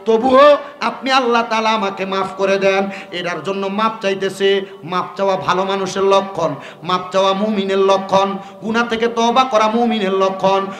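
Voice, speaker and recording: A man's voice: sits high, fairly even intonation, normal speed, fairly bright tone. A man delivering an Islamic sermon, exhorting his listeners directly and prompting them to respond. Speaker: Islamic preacher. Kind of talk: waz sermon to a gathering.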